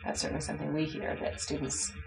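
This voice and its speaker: A woman on university staff interviewing a transfer student, brisk and saying "okay" often. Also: deep voice, completely flat delivery, vocal fry, over music. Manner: brisk, frequent okays, plugs programs. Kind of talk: friendly scripted interview.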